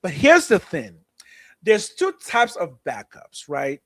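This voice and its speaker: A man's voice: high voice, huge pitch swings, slow, a bit bright, no echo. A man in his thirties with a mixed Nigerian and American accent, talking sports casually and playfully, often stumbling over words.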